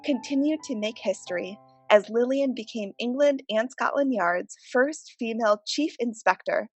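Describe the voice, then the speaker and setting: A woman's voice: a little high, no room sound. Woman narrating informatively over music. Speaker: playwright. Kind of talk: scripted documentary narration.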